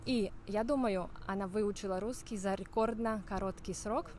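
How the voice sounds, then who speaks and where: A woman hosting an interview in a clear, teacherly way, repeating and rephrasing her guest's answers. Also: medium pitch, moderate pace, a little mellow, slight background noise. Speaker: host. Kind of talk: casual interview.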